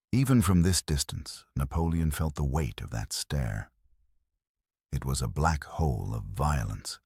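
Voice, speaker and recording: A man with an extremely low, husky voice narrates a dramatic story. His delivery is scripted and theatrical, and the sound is close and dry. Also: dry recording.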